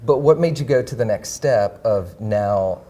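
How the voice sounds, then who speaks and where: Young male host, upbeat and playful, fairly low voice, conference-room interview. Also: lively intonation, unhurried, dark tone. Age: young adult. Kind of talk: casual live interview.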